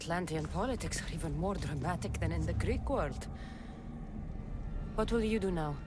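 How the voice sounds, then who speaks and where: A woman's voice: fairly low pitch, normal speed, loud music behind the voice, close to an everyday voice. A woman playing a guarded, loyal mercenary hero in a video game, dry and earnest. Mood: dry, teasing. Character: guarded, loyal adult female Greek mercenary hero, dry and world-weary. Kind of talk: video game cutscene acting.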